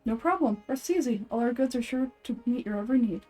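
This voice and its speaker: A woman's voice: a little high, animated, quick pace, a little mellow, soft background music. A woman voicing a cheerful, earnest townsperson in fan-made fantasy game dialogue. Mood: friendly, chatty. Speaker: amateur voice actor.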